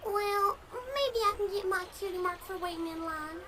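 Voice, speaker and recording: A woman's voice: very high voice, normal speed. A woman voicing an eager, childlike little girl pony in a cartoon comic dub. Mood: excited, eager. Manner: excitable, childlike. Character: eager little girl farm pony, squeaky kid sister.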